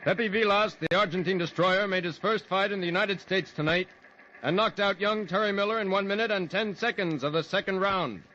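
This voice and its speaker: An actor playing an excited, clipped sports newsman in a vintage newscast. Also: sits high, a little fast, lightly acted. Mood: brisk. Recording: muffled old radio recording.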